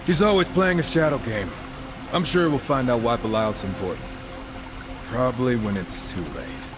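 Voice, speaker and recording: A man's voice: mid-range voice, normal speed, over loud music, lightly acted. An actor playing a wisecracking, irreverent horseman of the apocalypse in a game, with sarcastic, casual banter. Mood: sardonic. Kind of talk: video game cutscene acting.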